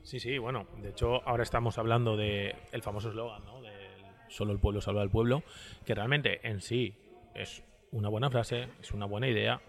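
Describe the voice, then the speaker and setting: A man's voice: lowish voice, steady tone, fairly quick, vocal fry. A man speaking casually and conversationally as an interview guest.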